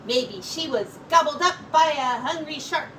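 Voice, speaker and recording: A woman's voice: high-pitched, lively intonation, measured pace, reverberant, voice pushed up. A woman voicing eager, exclaiming schoolchildren in a storybook. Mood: speculating eagerly. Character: excitable schoolchildren with wild theories, storybook. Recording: distant mic with clear background noise.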